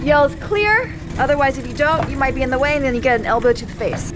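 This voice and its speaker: A woman's voice: high-pitched, lively intonation, fast. A woman vlogger chatting casually and laughing often, recorded outdoors on a boat and in the sea with an action camera. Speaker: sailing vlogger. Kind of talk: casual chat. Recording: loud wind and water noise.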